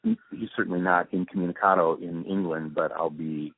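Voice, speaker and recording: An older man with a husky voice chatting casually in an interview, easygoing and halting, restarting his sentences. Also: low voice, fairly even intonation, dry recording. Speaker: interview guest, audio post-production supervisor. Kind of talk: casual phone interview chat. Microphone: phone or call line. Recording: tinny, dry phone-like line.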